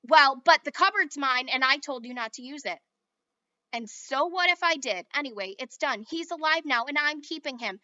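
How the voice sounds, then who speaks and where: Lady doing a loud little boy, bossy and yelpy, bright sharp tone. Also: high-pitched, animated, moderate pace, dry recording. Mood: defiant. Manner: loud, yelping and insistent. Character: young boy, excitable and possessive. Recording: dull, dry sound.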